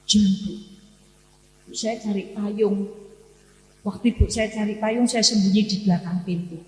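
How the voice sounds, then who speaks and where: A woman in her sixties with a Javanese accent, preaching with personal stories in an emphatic, deliberate way, with pauses and repeated phrases. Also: medium pitch, fairly even intonation, a little mellow, a little hiss or hum. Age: 60s. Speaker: Islamic preacher giving a morning study lecture. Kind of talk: sermon with personal storytelling. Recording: echoey hall with long reverb.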